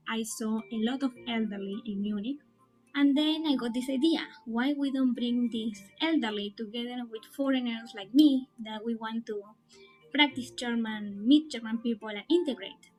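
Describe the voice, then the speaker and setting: A woman speaks in a fairly high voice, steady and explanatory as she describes her project. Light music plays faintly behind her. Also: poor audio. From Argentina. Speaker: founder of a nonprofit. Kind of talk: personal testimony.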